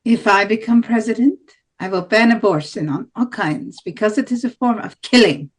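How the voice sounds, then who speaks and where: A blunt, hardline right-wing woman , acted by a woman, runs for president in a stage-play debate. Her middle-pitched voice lays out each position in flat statements, as written lines read aloud. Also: moderate pace, close to an everyday voice. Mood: firm.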